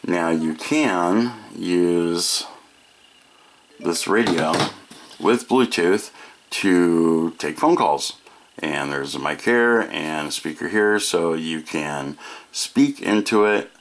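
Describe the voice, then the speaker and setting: A man's voice: low voice, slow, a little hiss or hum. An American man giving a casual product demonstration, explaining each feature in a matter-of-fact way. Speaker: product reviewer.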